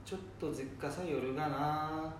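A man's voice: steady tone, moderate pace, close to an everyday voice. Man playing muttering confused grandfather, Nanbu dialect, radio drama, very echoey, distant noisy recording. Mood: puzzled muttering. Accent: Nanbu dialect, northeastern Japan. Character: confused, wandering old grandfather, muttering to himself. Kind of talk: amateur radio drama acting. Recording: roomy, distant, clearly noisy with rumble.

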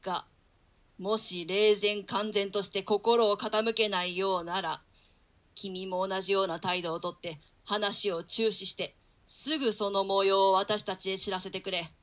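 A woman's voice: mid-range voice, level tone, unhurried, fairly bright tone, natural voice. A woman performing a scheming, commanding male nobleman in a theatrical Shakespeare reading. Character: scheming, commanding nobleman, grown man, conspirator. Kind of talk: theatrical Shakespeare reading in archaic Japanese translation.

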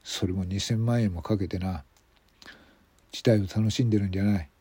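A man playing a gruff, blunt senior male police detective in a radio drama, with rough, commanding speech. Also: fairly low pitch, steady tone, moderate pace, mellow, no echo. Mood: stern.

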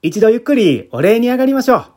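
A man's voice: very high-pitched, big pitch swings, quick pace, a little mellow, voice pushed up. A man voicing a cheerful, polite policeman, a proud family man talking in a chatty, deferential, folksy way in a story reading. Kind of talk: literary reading with acted dialogue. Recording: music bed behind the voice.

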